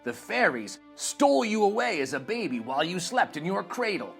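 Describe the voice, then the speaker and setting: A man voicing a wise old hermit in a storybook, speaking in a solemn, measured manner. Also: high voice, big pitch swings, creaky, a bit bright, soft background music, full character voice. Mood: solemn, gentle. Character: old hermit, wise solemn male mentor revealing a destiny.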